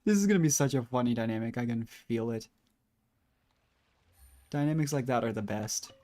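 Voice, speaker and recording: A woman giving relaxed, musing, casual commentary as she streams a game. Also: very deep voice, normal speed. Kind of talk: casual reactive gameplay commentary. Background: game music and dialogue.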